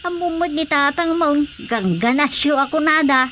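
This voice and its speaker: Higher-voiced grumbling Ilocano mother. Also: moderate pace, fairly bright tone, a little hiss or hum. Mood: chatty. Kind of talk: Ilocano radio drama comedy acting.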